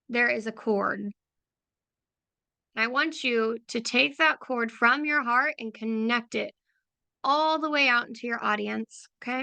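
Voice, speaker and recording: A woman leading a guided visualization in a soft, unhurried, affirming way, often repeating phrases. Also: on the high side, creaky, dry recording. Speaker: meditation guide for creative business owners.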